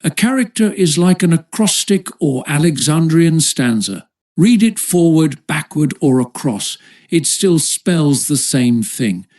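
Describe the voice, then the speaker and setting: Male audiobook narrator, slightly high voice, measured and formal delivery for classic essay prose. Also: lively intonation, darkish tone.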